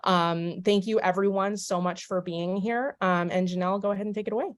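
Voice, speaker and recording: A woman's voice: mid-range voice, steady tone, a little fast. A woman giving opening remarks for a sponsor, thankful and full of fillers. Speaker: sponsor representative.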